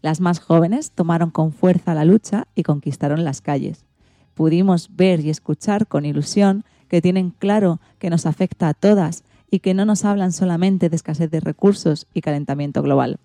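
A woman's voice: on the low side, normal speed, warm tone, no echo. A woman with a Spanish accent from Spain, hosting a radio program in a scripted presenting style.

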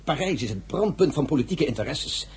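An actor playing an eager, self-important, politically scheming brother-in-law in a Dutch period radio drama. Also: male voice, a little high, very animated, a little fast, a little mellow.

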